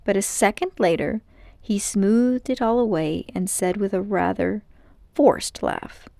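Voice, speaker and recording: A woman narrates a children's story with expressive read-aloud phrasing. Her voice sits at a medium pitch, and the recording is dry with very little room sound. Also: unhurried, creaky, dry recording.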